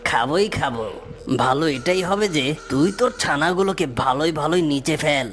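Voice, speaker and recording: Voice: mid-range voice, expressive, a little fast, a bit bright, soft background music, close to an everyday voice. An actor voicing a greedy, mocking fox villain in a cartoon, with a taunting, bullying manner. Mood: threatening. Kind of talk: cartoon character dialogue.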